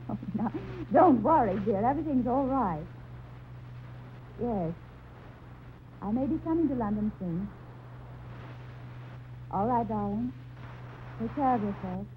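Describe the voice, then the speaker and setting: A high-pitched, theatrical anxious woman of old-time radio drama. She speaks in exclamations, full of dramatic feeling. The sound is narrow and tinny, with faint noise behind her. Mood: affectionate, composed. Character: anxious woman recounting a ghostly rescue.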